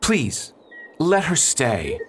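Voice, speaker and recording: A man's voice: on the high side, wildly swooping pitch, very slow, slight background noise, full character voice. A man playing an earnest small-town preacher. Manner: earnest, pleading. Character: earnest, upright male preacher, husband. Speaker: reverend at a mission. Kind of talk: amateur radio drama.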